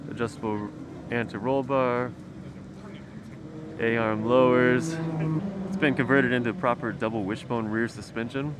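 A man giving casual, slangy and enthusiastic walk-around commentary as a car enthusiast, speaking outdoors. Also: medium pitch. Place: outdoors in a circuit paddock. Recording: noisy outdoor car paddock ambience.

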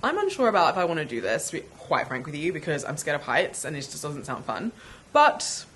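Young woman vlogger, lively up-and-down voice, handheld camera, noisy outdoors. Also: deep voice, brisk. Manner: chatty, animated, addresses viewers directly. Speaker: travel vlogger. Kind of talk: casual vlog narration. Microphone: handheld action camera at arm's length.

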